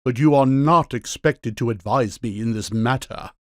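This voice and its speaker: A man's voice: medium pitch, normal speed, no echo, studio quality, lightly acted. An actor playing a pompous king who is a living kitchen cleaver, speaking in declamatory rebukes in a full-cast audiobook. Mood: rebuking.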